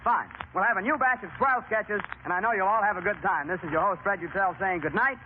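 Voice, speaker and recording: A man's voice: high voice, brisk. A man hosting a 1930s live radio quiz show in a chatty, folksy, courteous manner. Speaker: radio game show host. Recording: scratchy, noisy, phone-like 1930s radio broadcast.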